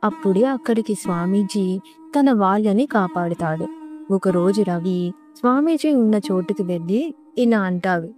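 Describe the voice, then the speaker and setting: Mellow woman storyteller over music. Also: medium pitch, normal speed. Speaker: narrator. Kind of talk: scripted children's story narration.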